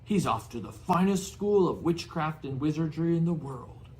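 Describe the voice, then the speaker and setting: Guy doing a big gruff storybook giant, growly, kind hearted, folksy rough grammar, super loyal. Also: a little high, animated, normal speed, darkish tone, voice pushed up, natural voice, rough recording. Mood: indignant. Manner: rustic, colloquial, dropped words and dialect grammar. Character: gruff, warm-hearted bearded giant man, fiercely loyal.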